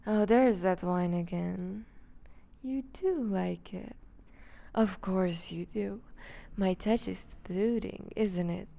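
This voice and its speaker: A woman acts out a predatory vampire noblewoman for an ASMR roleplay. She speaks very slowly and with dark, mellow softness, a creaky edge under her possessive, condescending teasing. The audio is slightly muffled. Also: medium pitch. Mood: coaxing, seductive. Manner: velvety teasing, condescending, unhurried, often dropping to whispers. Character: seductive, dominant vampire duchess, predatory and possessive. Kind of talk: ASMR roleplay, intimate scripted monologue.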